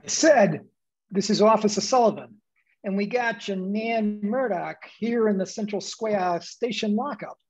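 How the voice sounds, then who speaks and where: A husky 1920s cop in a radio drama, played by an actor, worn down and pleading. The sound is dull and lo-fi. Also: medium pitch, a little slow, a little mellow. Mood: brusque, weary. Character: exasperated, pleading 1920s police officer. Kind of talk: radio play acting.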